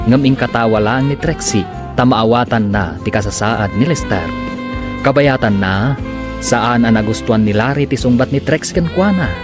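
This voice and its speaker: A man's voice: mid-range voice, normal speed. A man with a northern Philippine accent narrating and announcing a scripted radio drama serial. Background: music.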